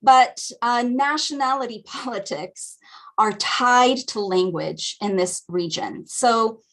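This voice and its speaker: An American woman lecturing over a video call, her voice sitting a little high for a woman. She explains things in a thoughtful, academic way and pauses often on ums. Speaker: guest lecturer. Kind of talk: academic lecture at a community teach-in.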